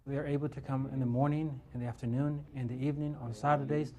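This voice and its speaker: A man's voice: medium pitch, steady tone, fast, darkish tone. A man, a program developer, explaining his work in an interview with some hesitations.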